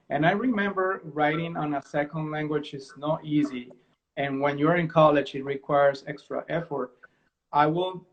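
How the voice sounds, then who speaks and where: A man hosts a video-call chat, his voice a bit higher than most men's. He tells stories in a loose conversational way and often corrects himself mid-sentence. The sound is dull and lo-fi. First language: Spanish. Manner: conversational, storytelling, self-correcting. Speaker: host. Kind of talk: casual video-call interview.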